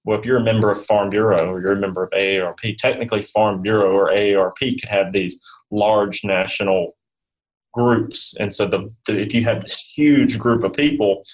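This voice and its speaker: A man giving informal interview answers as an insurance expert, talking in a rambling, halting, conversational way. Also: lowish voice, rough recording. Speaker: guest expert on health insurance benefits.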